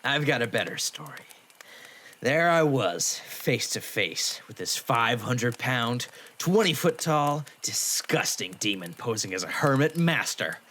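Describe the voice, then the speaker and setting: An actor playing a brash, boastful young half-demon man with a gruff, defensive manner in a fan audio drama. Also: mid-range voice, very animated, unhurried, fairly bright tone, slight background noise. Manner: cocky, defensive, gruff bragging.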